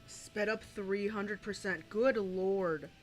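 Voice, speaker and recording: A woman's voice: medium pitch, slow, soft background music, poor audio. A young adult woman streaming, rambling through crude, profane reaction commentary with laughing asides.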